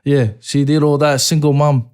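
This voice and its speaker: A man from American Samoa, a guest rapper in a casual interview, laid-back, swearing a lot and breaking into laughing excitement. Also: fairly high pitch, moderate pace, clean tone.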